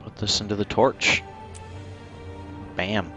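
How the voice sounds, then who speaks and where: A man with a low voice chats casually while livestreaming his game, talking himself through each step in a wry, laid-back way. Game music plays faintly behind him. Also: fairly even intonation, slow pace, sharp tone. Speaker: livestreamer playing a video game. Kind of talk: casual gaming livestream commentary. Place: indoors at a computer.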